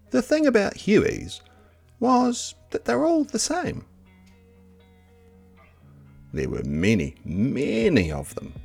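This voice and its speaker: A man reading a children's picture book aloud as narrator, in an expressive, measured storytelling delivery. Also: medium pitch, vocal fry.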